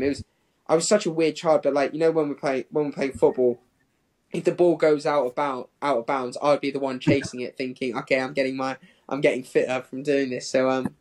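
A man's voice: medium pitch, darkish tone. A man in his twenties who grew up in Hong Kong and England, talking casually as an interview guest in a rambling way peppered with "like". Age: 20s. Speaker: professional distance runner, interview guest.

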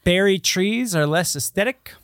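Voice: medium pitch, expressive, normal speed, no echo, clean studio sound. A podcast co-host chatting casually and comedically, bantering and swearing freely.

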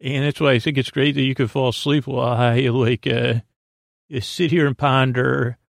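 Gravelly, meandering man hosting a bedtime podcast. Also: medium pitch, steady tone, no room sound, studio quality. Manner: hesitant, meandering, halting with repeated false starts; whimsical, absurd musing. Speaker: podcast host. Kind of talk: rambling improvised bedtime podcast monologue.

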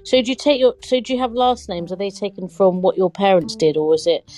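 A woman's voice: medium pitch, animated, fairly quick, warm tone, soft background music. A woman from England with an English accent, chatting casually and expressively as an inquisitive podcast guest.

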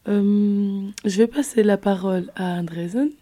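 A woman's voice: mid-range voice, a little slow, mellow. A woman in her twenties of Cape Verdean origin, introducing herself plainly as a podcast guest in a studio. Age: 20s. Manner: plain self-introduction, some fillers. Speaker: podcast guest, psychology student.

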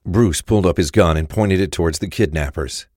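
A man's voice: low voice, a little fast, very noisy. A man reading scripted narration for an audio drama. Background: music.

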